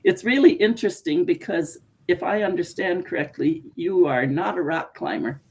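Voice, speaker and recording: A woman's voice: fairly low pitch, lively intonation, normal speed, a little mellow. Canadian woman, casual podcast host, giggly and chatty, video call sound. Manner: giggly, chatty, laughs often. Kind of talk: casual interview.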